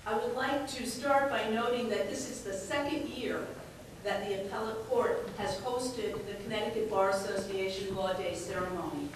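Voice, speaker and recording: A woman acting as a judge gives a measured, formal welcome speech in a courtroom. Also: mid-range voice, fairly even intonation. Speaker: chief judge giving ceremony welcome. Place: large echoey courtroom. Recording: very echoey, distant mic, faint noise.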